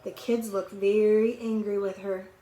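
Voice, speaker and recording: A woman's voice: medium pitch, steady tone, unhurried, dark tone, low-quality recording. A woman teacher reading a storybook aloud to young children, expressively, with conversational asides and questions.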